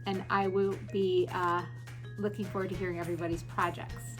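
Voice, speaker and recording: A woman teacher giving class announcements and reading a story aloud with deliberate, expressive emphasis. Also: on the low side, music in the background. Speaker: elementary school teacher.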